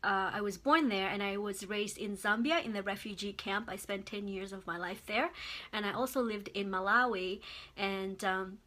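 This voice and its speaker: A woman's voice: mid-range voice, normal speed, bright, forceful tone. A woman from Mozambique giving a heartfelt motivational talk as a guest speaker on a livestream, earnest and repeating phrases for emphasis. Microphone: phone or webcam livestream.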